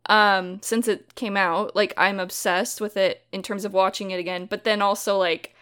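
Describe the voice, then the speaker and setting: A woman's voice: mid-range voice, little pitch movement, normal speed, a bit bright, clear, resonant tone. A woman co-hosting a film podcast in casual chat, gushing and giggly, full of likes and ums. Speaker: podcast co-host.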